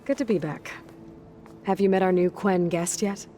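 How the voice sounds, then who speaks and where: A woman's voice: on the low side, fairly even intonation, moderate pace, dark tone, slight background noise, lightly acted. A woman playing a resolute young hunter and leader in a video game, plain-spoken and dryly wry. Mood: friendly. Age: young adult. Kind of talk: video game cutscene acting. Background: faint music.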